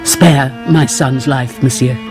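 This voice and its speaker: An actress in a radio drama playing an anguished middle-aged noblewoman and mother, formal and careful, with a husky, breathy voice. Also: very deep voice, fairly even intonation, slow, music in the background. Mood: pleading.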